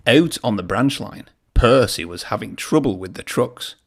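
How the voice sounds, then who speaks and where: A man's voice: on the low side, expressive, moderate pace. A man reading a children's story aloud in a narrating style. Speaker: storybook reader.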